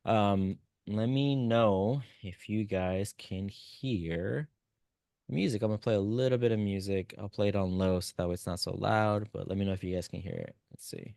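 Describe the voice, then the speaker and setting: A man whose first language is Spanish, wrapping up an online class and cheering students on by name. His voice is smooth and clear, recorded close and dry with no room sound. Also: fairly low pitch, normal speed, dry recording. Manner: relaxed, encouraging, talks to chat by name. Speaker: instructor. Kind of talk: live online class wrap-up.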